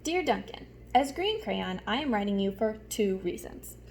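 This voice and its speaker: A woman's voice: medium pitch, very animated, normal speed, slight background noise. Woman voicing a polite, lilting green crayon. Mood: cheerful, polite.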